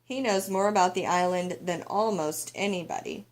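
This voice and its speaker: A woman's voice: lowish voice, moderate pace, close to an everyday voice. A woman voicing a loving old grandmother from a storybook, tender and poetic as she reminisces. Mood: matter-of-fact.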